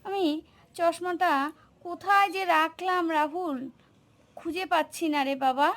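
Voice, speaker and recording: A woman plays a fussy, absent-minded cartoon dad. The voice is notably high for the part. He talks in a fretful, grumbling way. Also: unhurried, a bit bright. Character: fussy, forgetful newspaper-loving father. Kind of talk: cartoon voice acting.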